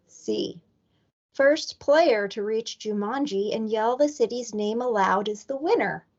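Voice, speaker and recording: Woman reading as bossy young storybook sister, slow-spoken. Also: on the high side. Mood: bossy, instructive. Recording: dull lo-fi recording.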